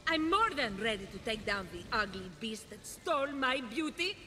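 An actress playing a vain, haughty, flirtatious love goddess in a game, theatrical and arch. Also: female voice, a little high, very animated, moderate pace, pushed and bright. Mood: gracious, haughty. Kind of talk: game character acting.

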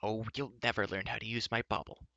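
A man's voice: lowish voice, flat delivery, fairly quick, gravelly, pushed and bright, no echo, highs cut off. A man voicing a headstrong young princess in a story, chattering on in a breezy, insistent, argumentative way. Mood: scornful, shrieking.

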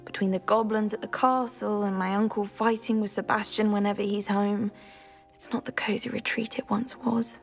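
A young woman with a British accent playing a gentle, weary, resigned teenage witch in a video game, speaking softly. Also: mid-range voice, flat delivery, normal speed, gravelly, natural voice. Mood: polite, weary. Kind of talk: video game character dialogue. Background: background music.

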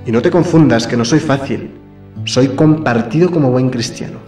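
A man's voice: mid-range voice, moderate pace, dark tone, natural voice. A man playing a cheeky, affectionate Madrid friend, reading out his letter in a radio soap. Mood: playful, gossipy. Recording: roomy, somewhat distant, faint music behind.

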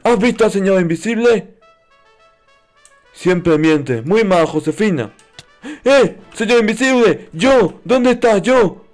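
Male gaming host, very high-pitched, naive childlike roleplay delivery, music underneath. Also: expressive, slow pace. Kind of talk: playful noob roleplay commentary over a video game.

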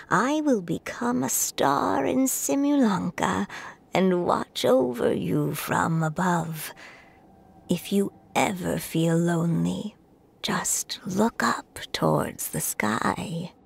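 Soft, husky, slow-spoken gentle woman storyteller. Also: mid-range voice, heavily acted. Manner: soft, breathy, tender. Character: gentle, loving woman storyteller, short-lived creator of a child. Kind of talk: video game voice acting.

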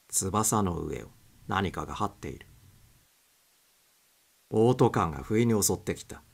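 A man reading suspense fiction aloud as scripted audiobook narration. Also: deep voice.

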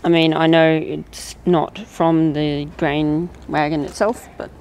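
Woman, low-ish voice, casual rambling outdoor commentary, faint background hum. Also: flat delivery, slow pace, a little mellow. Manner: rambling, thinking aloud with fillers. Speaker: railway video maker. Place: outdoors at a rural railway station.

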